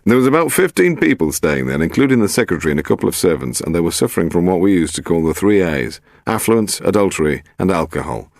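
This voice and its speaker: A man's voice: sits low, very animated, quick pace, creaky, few pauses, a little mellow, no echo, heavily acted. A British man voicing a brusque, wry senior police detective in an audiobook, with a dry, sardonic manner. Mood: wry, matter-of-fact. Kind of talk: audiobook character dialogue.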